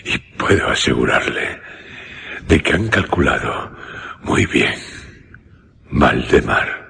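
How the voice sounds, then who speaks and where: An actor playing a slow, ghastly dying man with a very deep, gravelly, husky voice in a horror radio drama. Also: expressive, sharp tone, heavily acted. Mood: ominous.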